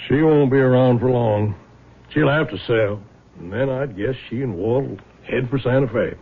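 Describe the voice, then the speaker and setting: An actor playing a dry, stubborn, honest older man in a 1970s radio drama, speaking in a measured, sardonic way. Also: medium pitch, slightly rough, phone-quality sound. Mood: calm. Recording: thin, band-limited vintage radio recording.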